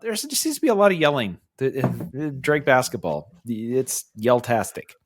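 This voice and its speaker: A man's voice: medium pitch, big pitch swings, moderate pace. A man co-hosting a casual podcast, playful and goofy, laughing as he chats. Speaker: podcast co-host. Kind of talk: casual chat.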